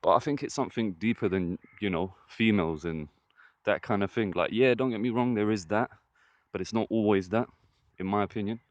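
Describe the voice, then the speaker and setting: A man with a fairly deep voice talking to the camera in a casual vlog, earnest and encouraging, often saying "listen" and "trust me". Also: a little fast, dry recording, lo-fi sound. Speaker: vlogger. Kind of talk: casual motivational vlog monologue.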